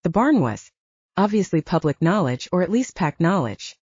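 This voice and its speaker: A woman's voice: lowish voice, big pitch swings, moderate pace, a little mellow, slightly rough, dry recording, dull audio. A woman reading scripted fiction as an audiobook narrator.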